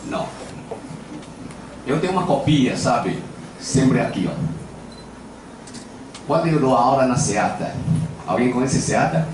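A man's voice: mid-range voice, animated, measured pace, long pauses. An older man speaking Portuguese with a Japanese accent, giving an informal acupuncture lecture into a handheld mic, telling anecdotes in a playful, self-deprecating way. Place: large lecture hall with audience. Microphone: handheld microphone. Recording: echoey hall, camera at a distance, background noise.

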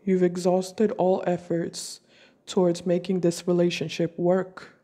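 A woman reading tarot cards for her viewers while fighting a cold, speaking slowly and deliberately at her table at home. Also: fairly low pitch, monotone, mellow. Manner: slow, deliberate, intuitive. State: has a cold. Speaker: tarot reader.

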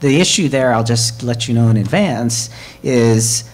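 A man's voice: mid-range voice, measured pace, a little mellow. A man chairing a committee at a hybrid meeting, talking conversationally with fillers and restarts.

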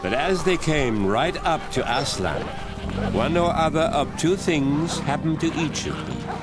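An actor playing a grave, measured storyteller, narrating an audio drama. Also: male voice, mid-range voice. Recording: loud music behind narration.

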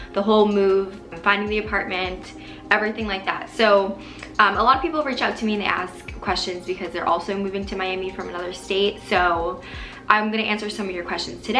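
A woman's voice: mid-range voice, bright, forceful tone, roomy sound. A woman in her twenties chatting casually to camera as a vlogger, answering viewer questions with many likes and ums. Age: 20s. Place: small bedroom indoors.

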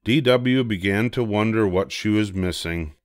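Casting: older male storybook narrator, low-pitched, expressive, dry close recording. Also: measured pace, no room sound. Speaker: storybook reader. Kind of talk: expressive children's book narration.

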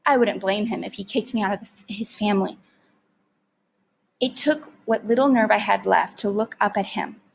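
A woman's voice: medium pitch, moderate pace, vocal fry, husky. A woman reading a story aloud expressively, like a teacher narrating to students.

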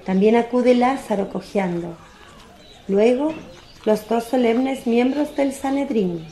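Mellow woman narrator in a roomy sound. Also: on the high side, slow. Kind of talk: scripted narration of religious radio drama.

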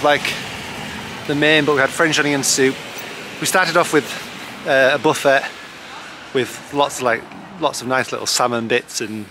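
A man's voice: mid-range voice, noisy background. A man talking casually and informally as a travel vlogger, with an upbeat, chatty manner. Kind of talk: casual vlog chat.

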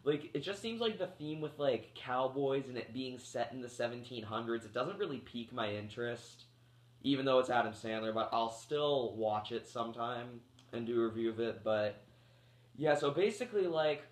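A man's voice: mid-range voice, moderate pace. A man around thirty reviewing a movie in a loose, rambling way, full of fillers and restarts, while getting over a cold that makes him cough. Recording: echoey room.

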